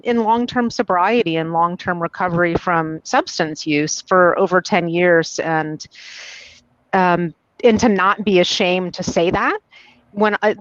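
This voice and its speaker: A woman's voice: mid-range voice, dry recording, dull audio. A woman in her forties from the Midwest, speaking earnestly and reflectively as a panelist in a casual discussion. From American Midwest.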